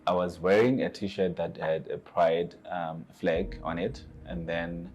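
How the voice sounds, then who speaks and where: A man from Zimbabwe, earnestly recounting his own experiences and pausing to search for his words. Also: low voice, steady tone, darkish tone. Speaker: asylum seeker telling his story. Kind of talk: personal testimony to camera.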